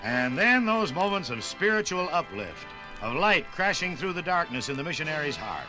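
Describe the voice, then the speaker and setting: A man narrates in 1950s radio documentary style. His fairly high voice moves expressively through dramatic, oratorical phrases. Music plays clearly behind him. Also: big pitch swings, normal speed, poor audio.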